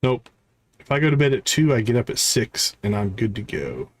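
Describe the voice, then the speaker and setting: A man's voice: medium pitch, very animated, normal speed. A man giving casual live commentary as he plays a video game. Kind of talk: casual livestream gameplay commentary, reading game dialogue aloud.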